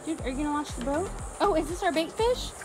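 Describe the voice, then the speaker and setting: A woman in her thirties chatting excitably and encouragingly into a handheld camera outdoors by the water, in a casual vlog. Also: sits high, fast. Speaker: vlogger. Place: outdoors by a bay, dock and water. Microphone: handheld phone or action camera. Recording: music behind, outdoor handheld recording.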